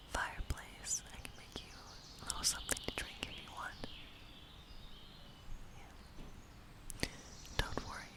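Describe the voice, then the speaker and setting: A woman whispering an ASMR fantasy role play as a friendly, playful witch, with a breathy, gentle, coaxing manner. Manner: gentle, coaxing, slow and soothing. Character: friendly, playful, lonely witch living in a woodland cottage. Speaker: ASMR creator.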